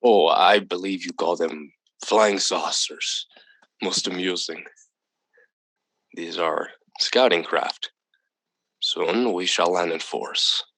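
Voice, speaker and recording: A calm, superior visitor from another planet in a radio drama. The voice is very low, with formal, measured, condescending delivery. The sound is close and dry. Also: male voice, no echo, full character voice, rough recording. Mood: coolly menacing. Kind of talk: old-time radio drama acting.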